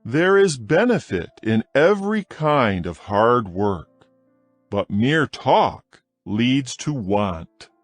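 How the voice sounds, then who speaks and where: A man reading scripture in a slow, measured, expressive way. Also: mid-range voice, dry recording, faint music behind. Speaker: scripture reader.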